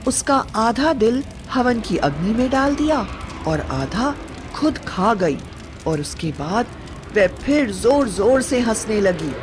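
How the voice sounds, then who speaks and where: A woman storyteller reading a scripted horror story in a dramatic, deliberate manner. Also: on the high side, big pitch swings. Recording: music bed behind the voice.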